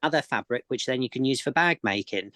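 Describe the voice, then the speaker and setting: A man's voice: mid-range voice, lively intonation, fairly quick, a bit bright, dry recording, studio quality. A man, a sewing expert guest, explaining techniques in casual chat, often repeating words as he goes.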